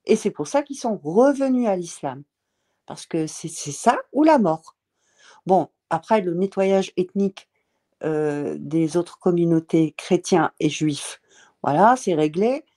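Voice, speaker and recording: Woman, slow delivery, dark mellow tone, emphatic, halting with fillers. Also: fairly low pitch, expressive. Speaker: panel speaker. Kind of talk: casual panel discussion.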